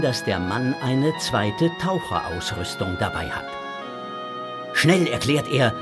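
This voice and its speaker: A man narrates a children's audio story from a script. His voice is medium-pitched, with clear music playing behind it.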